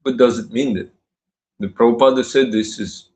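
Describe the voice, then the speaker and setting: A man from Russia with a Russian accent gives a devotional talk on scripture. He speaks haltingly, with many pauses and restarts, and laughs often. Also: medium pitch, darkish tone, roomy sound.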